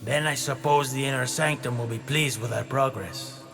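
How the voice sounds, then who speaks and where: Gravelly, bloodthirsty henchman. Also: mid-range voice, normal speed. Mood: gruff, sardonic. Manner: blunt, rough, sardonic. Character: brutal, impatient male henchman, bloodthirsty and blunt. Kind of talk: dramatic video game cutscene acting. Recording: echoing hall under loud music.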